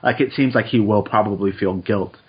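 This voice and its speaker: A man with a low, slightly husky voice co-hosts a podcast. He talks in a measured, reflective way and often hedges. The audio is dull and lo-fi. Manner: measured, reflective, hedging with uh and I think. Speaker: podcast co-host. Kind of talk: casual chat.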